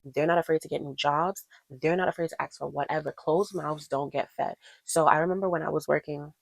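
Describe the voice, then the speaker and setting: A woman's voice: low voice, steady tone, brisk, gravelly, no echo, poor audio. A woman in her twenties talking casually to her viewers as a vlogger, encouraging and emphatic, repeating her points for stress. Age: 20s. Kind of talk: casual chat to camera.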